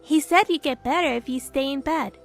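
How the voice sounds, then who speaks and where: Manga dub heroine, female actor: very high, sweet, gentle young woman, cutesy and easily flustered. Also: a little fast, vocal fry, soft background music. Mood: kind. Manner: gentle, cutesy, hesitant when embarrassed. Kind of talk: anime-style manga dub acting.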